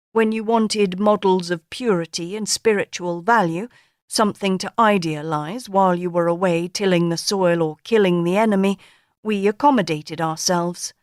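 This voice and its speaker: A woman voicing a poised, sharp-witted woman of forty in an audiobook, speaking in a dry, measured, ironic way. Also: fairly low pitch, no room sound, natural voice.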